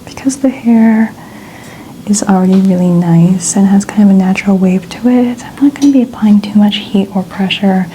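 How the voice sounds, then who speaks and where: A woman speaking in a soft, breathy, often whispered ASMR style, gently explaining a hairstyling routine step by step. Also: medium pitch, normal speed, slight background noise, low-quality recording. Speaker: ASMR host playing hairstylist.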